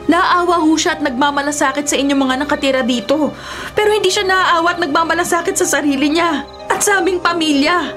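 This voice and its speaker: A woman's voice: high voice, fairly quick, fairly bright tone, soft background music. A woman acting a distraught, protective wife in a Filipino radio drama, plaintive and insistent. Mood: upset, accusing. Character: distraught, protective young wife pleading for her family.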